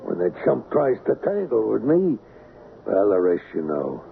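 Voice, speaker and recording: An actor playing a wary, bargaining small-time criminal with a gravelly voice and hesitant, slangy talk in an old radio drama. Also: male voice, on the low side, wildly swooping pitch, unhurried, full character voice. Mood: cagey, bargaining. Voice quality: gravelly, creaky. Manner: hesitant, hedging, streetwise slang. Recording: tinny, band-limited, noisy old radio recording.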